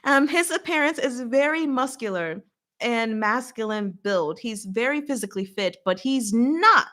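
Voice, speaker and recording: A woman hosting a casual live recap, talking in an expressive, playful, giggly way with emphatic asides. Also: on the high side, unhurried. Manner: giggly, expressive, laughs often while talking. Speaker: host of a live recap and discussion. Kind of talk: casual livestream chat recapping a story.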